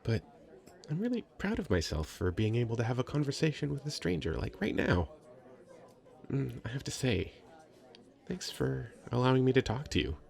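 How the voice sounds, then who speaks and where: A man playing a very shy, sweet young nerdy writer in an ASMR roleplay, hesitant, stammering and soft-spoken. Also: mid-range voice, big pitch swings, normal speed, vocal fry. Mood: earnest, grateful. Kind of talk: ASMR roleplay, addressing the listener.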